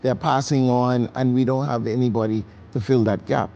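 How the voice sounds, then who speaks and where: A man's voice: medium pitch, steady tone, moderate pace, creaky, dark tone, highs cut off. A man from the Bahamas, a chef speaking emphatically and passionately as an interview guest. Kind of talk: conversational interview answers.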